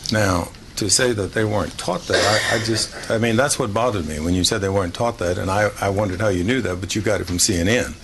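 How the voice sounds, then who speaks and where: A man's voice: fairly low pitch, fast talker, hardly any pauses, noisy background. A man questioning a witness at a hearing, interrupting and repeating words as he presses his point. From Houston, Texas. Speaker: committee member. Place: legislative hearing room.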